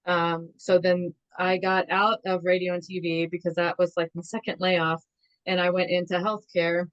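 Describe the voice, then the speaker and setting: A woman's voice: on the low side, level tone, moderate pace, clean tone, lo-fi sound. A woman from the American Midwest, a podcast guest, chatting about her background with many fillers. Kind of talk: casual interview storytelling.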